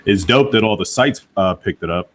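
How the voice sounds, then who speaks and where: A man's voice: sits low, lively intonation, moderate pace, a bit bright. A man with an American accent chatting casually and informally as a podcast guest, using plenty of slang. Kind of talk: casual chat.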